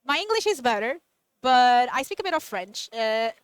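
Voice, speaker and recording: High clear-voiced woman host. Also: big pitch swings, normal speed, no room sound. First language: Portuguese. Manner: upbeat, talks to chat. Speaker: event co-host. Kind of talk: casual live stream chat.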